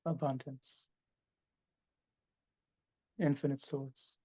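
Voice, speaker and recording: A man hosting a live guided meditation over a video call, speaking slowly and softly. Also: medium pitch, flat delivery, no echo, muffled recording. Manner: slow, soft, soothing delivery. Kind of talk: guided meditation, then casual chat.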